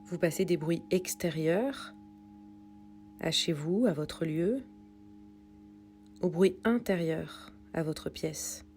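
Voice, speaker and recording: A woman reading a guided yoga nidra meditation in a calm, soothing, unhurried way with pauses. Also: lowish voice, soft background music. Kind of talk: guided meditation read from a script.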